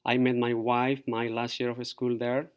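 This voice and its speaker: Middle-aged teacher, halting. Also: male voice, mid-range voice, level tone, clean tone. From Peru. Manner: halting, many fillers. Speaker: fourth grade teacher. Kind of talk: virtual open house presentation to parents.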